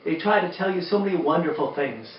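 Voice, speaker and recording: An older man hosting a children's storytime, speaking expressively to young listeners with drawn-out emphasis. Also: fairly high pitch, expressive. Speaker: children's storytime host and reader, former teacher. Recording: roomy, distant, dull sound with faint noise.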